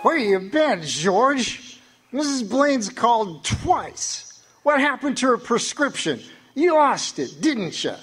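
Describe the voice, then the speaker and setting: A man's voice: very high voice, very animated, slow pace, fairly bright tone, soft background music, heavily acted. A man playing a drunk, grieving old druggist. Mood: scolding. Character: drunk grieving older male druggist, harsh and angry. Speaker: radio theatre actor. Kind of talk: live amateur radio drama acting.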